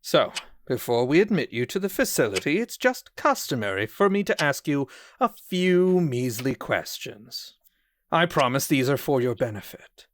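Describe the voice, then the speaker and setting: A man's voice: medium pitch, big pitch swings, moderate pace, no echo, close to an everyday voice. A man playing a condescending, falsely cheerful psychiatrist in a horror audio drama, with a smug, mock-polite manner. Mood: patronizing. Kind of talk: theatrical audio drama acting.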